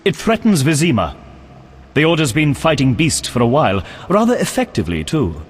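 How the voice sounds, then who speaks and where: An actor playing an earnest, pious knight in a video game, speaking in a formal, courteous and solemn manner. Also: male voice, a little high, wildly swooping pitch, brisk, slight background noise. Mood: proud, resolute. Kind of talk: video game character acting. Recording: long echoing reverb.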